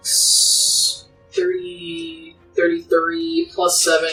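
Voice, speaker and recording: Rambling bright voice, off-mic and echoey. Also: very high voice, sing-song, slow, vocal fry, distant mic. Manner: rambling, many likes. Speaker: player. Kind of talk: casual tabletop play. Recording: echoey room, off-mic, faint music.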